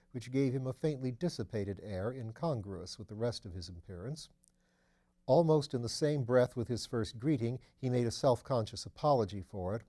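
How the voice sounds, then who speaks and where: A man's voice: fairly low pitch, dark tone. A man reading literary fiction aloud as scripted audiobook narration. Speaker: audiobook reader.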